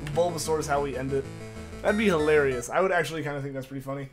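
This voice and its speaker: A man's voice: fairly high pitch, rapid-fire. Young man chatting casually, slight cough. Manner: rambling, filler-heavy, repeats himself. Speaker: streamer. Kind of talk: casual live-stream chat with viewers. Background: music playing underneath.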